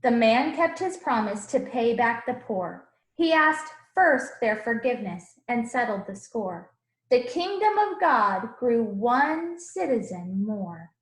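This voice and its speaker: A woman voicing a gentle, earnest Jesus who tells his own storybook tale in rhythmic rhyme. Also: a little high, sing-song, slow. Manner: rhythmic rhyming storybook delivery. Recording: roomy, echoing room sound.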